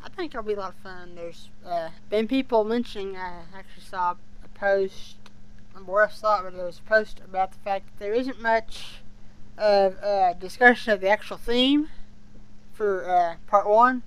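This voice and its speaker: Clear-voiced young man, hesitant and rambling. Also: high-pitched, moderate pace, a bit bright, low-quality recording. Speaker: podcast co-host reviewing an audio drama. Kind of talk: casual discussion.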